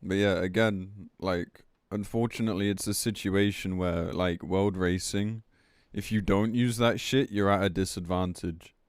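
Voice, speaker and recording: A man's voice: sits low, steady tone, unhurried, hardly any pauses, clean tone, no room sound. Blunt, sweary male streamer, close dry sound. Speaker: game streamer commenting on news. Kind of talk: casual livestream rant reading and reacting to a post.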